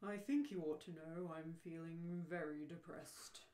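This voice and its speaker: Woman putting on a slow, gloomy, depressed robot. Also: deep voice, steady tone, dark tone, echoey room. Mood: gloomy, resigned. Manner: morose, resigned complaining. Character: gloomy depressed robot, dreary and self-pitying.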